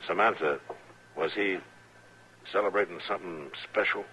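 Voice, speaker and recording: A calm, authoritative western lawman with a deep, forceful American voice. He speaks in terse, measured, dry phrases, on a slightly hissy old radio drama recording. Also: poor audio. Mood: calm, attentive. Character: steady, authoritative frontier lawman, calm and reassuring. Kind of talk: 1950s radio western acting.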